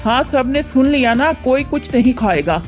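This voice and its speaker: A woman's voice: high-pitched, animated, normal speed, soft background music. Indulgent older mother-in-law. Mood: coaxing. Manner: coaxing, agreeable. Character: indulgent older mother-in-law who loves spicy food. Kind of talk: cartoon voice acting.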